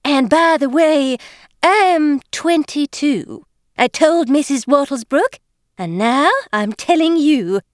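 A woman's voice: high voice, animated, slow, no echo, clean studio sound, pitched above the performer's own voice. A woman reading aloud, voicing a chirpy woman in her fifties who is an American faking a British accent and overpronouncing her words. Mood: urgent. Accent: American trying to sound British. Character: gushing fiftyish woman faking British airs.

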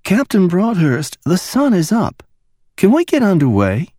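A man's voice: high voice, huge pitch swings, a little slow, dry recording, studio quality, pitched above the performer's own voice, exaggerated voice. Mickey, a man voicing a silky Alabama-drawled officer. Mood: silky, insistent. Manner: silky, soft-spoken. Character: poised woman security officer, coolly persuasive.

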